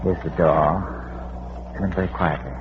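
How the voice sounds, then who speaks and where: An actor playing a brisk, scholarly amateur detective, precise and a little pedantic, in an old-time radio mystery. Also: male voice, deep voice, steady tone, close to an everyday voice. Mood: hushed, instructing. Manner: precise, hesitant pedantic asides. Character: brisk, scholarly amateur detective, a well-bred older gentleman. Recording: dull, noisy vintage radio recording.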